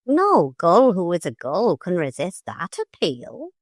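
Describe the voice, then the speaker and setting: A woman's voice: on the low side, wildly swooping pitch, a little slow, no room sound, studio quality, exaggerated voice. A woman voicing an elder male sea gull who talks in a breezy, gracious, offhand way.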